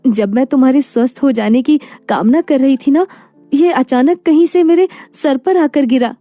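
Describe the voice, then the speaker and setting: Woman acting an earnest, high-voiced poor wife. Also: moderate pace, warm tone, dry recording, faint music behind, close to an everyday voice. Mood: excited. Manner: earnest, emotional. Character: poor, proud, devoted young wife. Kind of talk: animated moral story dialogue.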